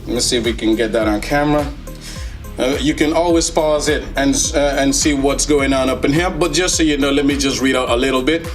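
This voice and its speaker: A man with a slightly high voice hosts a casual tech unboxing over clearly audible music. He talks in an enthusiastic, jokey way, full of slang and playful asides to his viewers. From Netherlands. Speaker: tech reviewer, video host.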